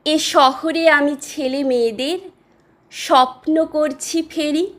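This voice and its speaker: A woman with a very high, bright, forward voice gives an expressive poetry recitation. Her delivery is slow and deliberate, shaped by dramatic pauses.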